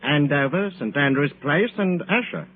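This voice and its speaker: An actor playing a dry, observant Scotland Yard officer in an old-time radio drama. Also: male voice, on the high side, lively intonation, normal speed. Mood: dry, official. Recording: tinny band-limited old radio recording.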